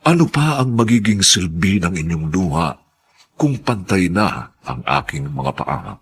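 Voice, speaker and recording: Husky, hugely expressive male radio drama narrator. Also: deep voice. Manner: theatrical, solemn, drawn-out emphasis.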